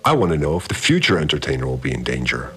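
A man's voice: rumbling low, very animated, fairly bright tone, breathy edge, faint music behind, exaggerated voice. A man voicing a sarcastic scarred woman cook. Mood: sharp, insistent. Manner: curt, sarcastic, quick delivery. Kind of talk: audio drama narration with quoted dialogue.

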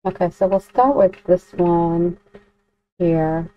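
A dry, slightly muffled voice-over of a woman presenting a software demo. Her voice sits a little low. She talks informally and hesitantly, working through each step aloud. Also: fairly even intonation, slow pace, dry recording. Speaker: tutorial presenter. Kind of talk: unscripted software demo walkthrough. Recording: dull, lo-fi, dry close recording.

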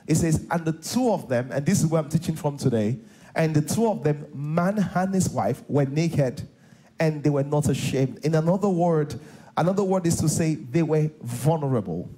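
A middle-aged Nigerian pastor preaches from a big church stage, his voice pitched fairly high yet warm and mellow in tone. He hammers home phrases by repeating them and tosses questions out to the crowd for a reply, heard through a close headset mic. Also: animated, moderate pace, low-quality recording. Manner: repetitive, call-and-response preaching. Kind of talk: sermon.

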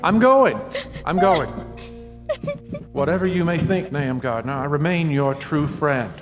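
An actor playing a kindly neighbor man who speaks gently and stammers when pressed, in a radio drama. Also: on the high side, lively intonation, a little slow, over music. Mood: flustered. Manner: soothing, stammering under pressure.

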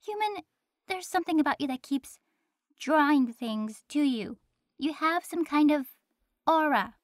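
A woman acts out a prim young female angel in an audio roleplay. Her voice is very high and recorded dry, without room sound. She speaks earnestly, with a hesitant, easily flustered manner. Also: lively intonation, unhurried, no room sound. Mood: flustered, insistent.

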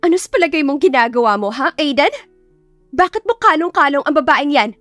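A woman's voice: high voice, expressive, fairly quick, a bit bright, dry recording, soft background music, voice pushed up. A woman voicing a jealous, spiteful young woman who speaks accusingly in a dramatised audiobook. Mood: furious, accusing. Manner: accusing, confrontational.

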